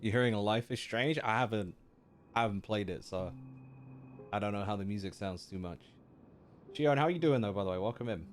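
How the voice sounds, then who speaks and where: A man's voice: lowish voice, brisk, pauses often, a little hiss or hum. A man chatting casually and informally as a streamer reacting to a game, using lots of slang, with music behind. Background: faint game music.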